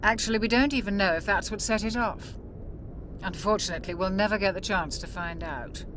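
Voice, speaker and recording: An actress playing a calm, caring, mature ship's doctor in a video game, composed and clinical in manner. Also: female voice, medium pitch, a little fast, natural voice. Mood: calm concern. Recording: clear engine-like ship noise behind.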